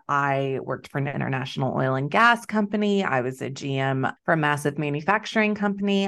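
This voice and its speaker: Upbeat Texan woman, lowish voice. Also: fairly bright tone. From Texas. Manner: upbeat, laughs often. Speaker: business coach, guest. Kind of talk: casual interview chat.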